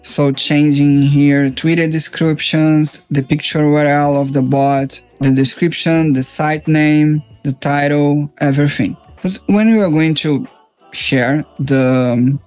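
Higher-pitched male tutorial presenter. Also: steady tone, unhurried, darkish tone, clean tone. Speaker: software reviewer and tutorial presenter. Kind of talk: informal screen-share software walkthrough. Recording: music playing behind the voice.

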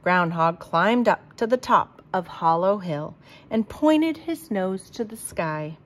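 A woman reading a children's storybook aloud in a deliberate, expressive manner. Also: mid-range voice. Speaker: storybook reader.

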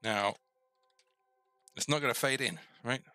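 A man's voice: medium pitch, measured pace, bright, forceful tone, clean tone. A middle-aged man giving a casual coding tutorial, musing and asking himself questions as he works. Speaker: game developer presenting a coding series. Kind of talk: thinking aloud while coding, casual tutorial.

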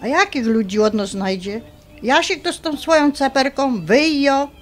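An actress playing a bossy, pious middle-aged mother with a Polish highlander accent and a blunt, scolding manner in a radio drama. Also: expressive, normal speed, soft background music. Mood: indignant. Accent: Polish highlander (Podhale).

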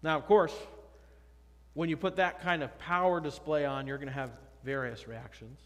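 A man's voice: a little high, fairly even intonation, moderate pace, fairly bright tone. A man in his thirties, a pastor preaching a conversational, emphatic sermon from a pulpit. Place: church sanctuary, at a pulpit. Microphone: pulpit microphone, far camera. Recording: long church reverb.

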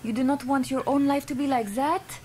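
An actress voicing a wistful blonde showgirl with a French accent, speaking soft, accented broken English in an early 1980s radio play. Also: high-pitched, fairly even intonation, a little fast, a little hiss or hum, close to an everyday voice. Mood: sad, tender. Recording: radio play with loud orchestral music.

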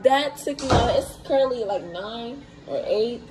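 A young woman vlogging from a dorm room on a handheld phone, chatty and rambling, her voice hoarse and worn out. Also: on the high side, animated, measured pace. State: very tired. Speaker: vlogger at cheer camp. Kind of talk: casual selfie vlog chat. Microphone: phone held close at arm's length. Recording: noisy phone recording.

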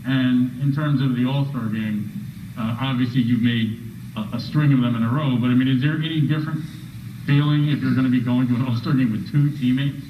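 A man's voice: mid-range voice, steady tone, fairly quick, reverberant, distant mic, highs cut off, a little hiss or hum. A man working as a sports reporter, asking questions at a press conference.

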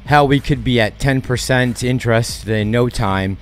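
A man's voice: medium pitch, moderate pace, soft background music. A man in his thirties hosting a stock-market commentary vlog, heated and sarcastic, speaking on a headset mic in a small home studio. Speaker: channel host. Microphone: headset with boom mic.

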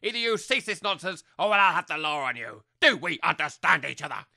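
A man's voice: on the high side, big pitch swings, brisk, creaky, pushed and bright, full character voice. A man voicing a bad-tempered, pompous aristocratic landowner, blustering and threatening, in a comedy audio drama.

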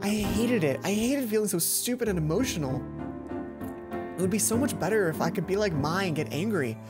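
A man's voice: sits high, expressive, brisk. A young adult man reading visual novel narration as a let's play host, with casual commentary. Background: game music.

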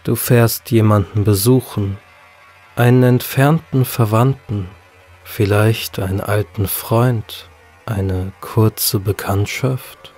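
A man's voice: lowish voice, fairly even intonation, slow, a little mellow. A man reading a scripted horror story as an audiobook narrator. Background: music.

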